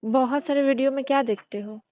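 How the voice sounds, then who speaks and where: Coy high-voiced woman on a phone line. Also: fairly even intonation, a little fast. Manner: coy, hesitant, questioning. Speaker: girlfriend on a phone call. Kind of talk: casual intimate phone chat. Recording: tinny, band-limited phone call recording.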